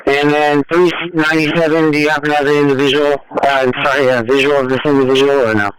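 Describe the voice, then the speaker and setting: A man's voice: fairly high pitch, little pitch movement, fast, a crowd in the background, low-quality recording. A man working as a train dispatcher, giving procedural instructions courteously over a two-way radio. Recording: transit radio dispatch audio.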